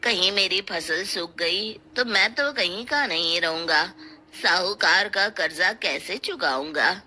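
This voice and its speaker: Woman playing an anxious, sharp-voiced village man. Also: fairly low pitch, slow pace, faint music behind, heavily acted. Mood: worried. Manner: worried, pleading. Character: anxious male villagers fretting and pleading.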